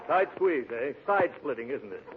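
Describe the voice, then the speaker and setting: A man's voice: medium pitch, sing-song, normal speed, lightly acted. An actor playing a pompous, jovial, gluttonous big man in a blustery, chuckling 1940s radio comedy style. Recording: noisy tinny 1940s radio broadcast.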